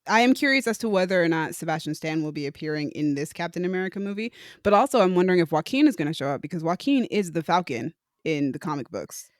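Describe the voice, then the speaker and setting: A mid-pitched voice of middling tone on a movie podcast, recorded close and dry. The speaker chats easily, trading news and opinions with co-hosts. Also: expressive, quick pace, hardly any pauses, no room sound. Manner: chatty, shares opinions and news. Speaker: podcast co-host. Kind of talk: casual movie review chat.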